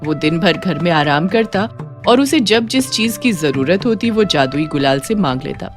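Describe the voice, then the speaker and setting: A woman's voice: on the low side, lively intonation, moderate pace. A woman narrating a scripted children's moral story. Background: music.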